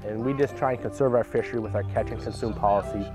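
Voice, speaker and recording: A man's voice: fairly low pitch, steady tone, fast talker. A young man who runs a family lodge, talking earnestly in an outdoor interview, with fillers and restarts. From Canada. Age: young adult. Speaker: lodge owner. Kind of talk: casual interview. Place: outdoors by a cabin. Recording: interview with loud music under it.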